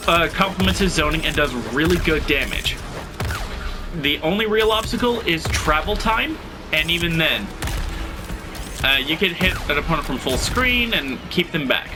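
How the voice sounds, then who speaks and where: A man with a slightly stuffy nose, hosting a tutorial and talking through fighting game moves in a casual, analytical way. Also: a little high. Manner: analytical, conversational, thinking aloud. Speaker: video game guide host. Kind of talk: gameplay tutorial commentary.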